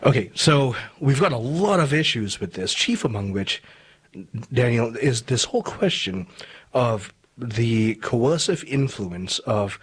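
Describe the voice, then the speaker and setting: Guy from malaysia hosting a radio talk show, formal and emphatic, slightly husky voice, medium pitch. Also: sing-song, measured pace. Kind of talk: radio interview and commentary.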